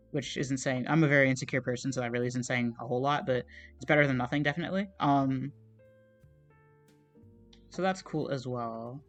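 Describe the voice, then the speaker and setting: A young man streaming as he draws, chatting casually in a meandering, soft, self-deprecating way, with plenty of fillers and trailing thoughts. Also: mid-range voice. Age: young adult. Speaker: art streamer. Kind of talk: casual chat while drawing on a livestream.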